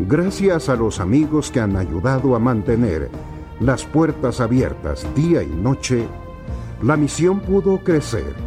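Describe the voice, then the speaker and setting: A man narrating a faith testimony program in a measured, solemn tone. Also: medium pitch. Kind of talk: scripted narration.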